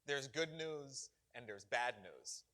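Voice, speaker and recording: A middle-aged man from Barbados, a bishop answering questions at a parish gathering with animated, preacherly emphasis and frequent laughter. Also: medium pitch, slow pace. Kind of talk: parish Q&A talk.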